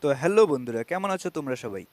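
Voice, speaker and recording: A man from Bangladesh explaining a phone app step by step, starting nearly every sentence with the same filler in a calm, instructive way. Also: medium pitch, rapid-fire, dry recording. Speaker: tech tutorial channel host. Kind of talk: step-by-step app tutorial narration.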